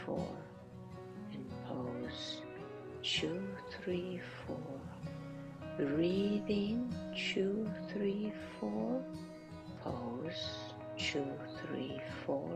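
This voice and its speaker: Very slow, low-pitched woman guiding meditation. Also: rough recording. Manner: hushed, breathy, deliberate with long pauses. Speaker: guided meditation leader. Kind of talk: slow guided visualisation, meditative narration.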